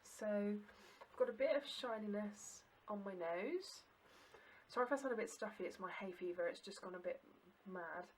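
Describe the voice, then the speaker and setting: Some ambient noise sits behind a woman with a mid-pitched voice. She talks casually to the camera like a beauty vlogger giving a review. Her delivery is chatty, informal and understated. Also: lively intonation, normal speed.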